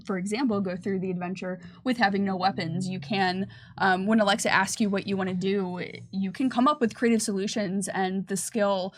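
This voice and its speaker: Woman, mid-range pitch, casual livestream Q&A, explanatory, conversational, thinking aloud. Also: steady tone, normal speed, hardly any pauses. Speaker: game developer presenting on a company livestream.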